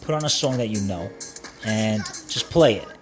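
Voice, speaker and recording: A man's voice: on the low side, moderate pace, over music. A man narrating a hands-on how-to in an informal way, repeating himself as he talks the viewer through each step. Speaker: repair tutorial host. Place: indoors at a workbench. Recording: steady background noise.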